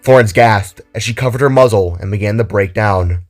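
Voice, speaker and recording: A man's voice: lowish voice, a little fast, faint music behind. A man narrating a scripted, dramatic story.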